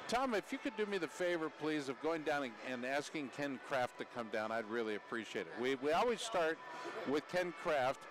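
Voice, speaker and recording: An American man hosting a live sports radio broadcast, speaking in halting, pause-filled phrases. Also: fairly high pitch, expressive. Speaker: radio host and play-by-play broadcaster. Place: indoor sports arena. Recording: noisy arena ambience.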